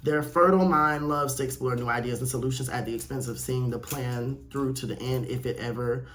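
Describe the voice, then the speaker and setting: A man's voice: medium pitch, fairly even intonation, brisk, a bit bright, a little hiss or hum. A man hosting a casual solo podcast, reading aloud and reacting in a candid, sassy way with playful swearing. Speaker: podcast host. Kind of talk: casual chat reacting to a quiz read aloud.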